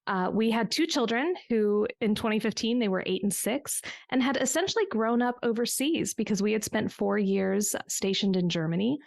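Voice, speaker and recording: Podcast guest, woman, forties, reflective storyteller, some vocal fry. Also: medium pitch, moderate pace, hardly any pauses, darkish tone, no room sound. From United States. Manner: reflective storytelling with small restarts. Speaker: business coach guest. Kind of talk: conversational podcast interview.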